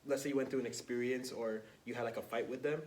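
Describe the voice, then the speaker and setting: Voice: medium pitch, fairly even intonation, fast, darkish tone. A young adult podcast host chatting casually and asking questions in an interview. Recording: distant mic, noisy background.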